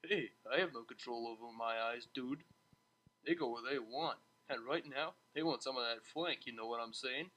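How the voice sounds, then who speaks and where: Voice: mid-range voice, moderate pace, fairly bright tone, voice pushed down, natural voice, poor audio. A narrator voicing a cheeky, leering young student stallion who hisses slangy remarks under the breath. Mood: leering, sly.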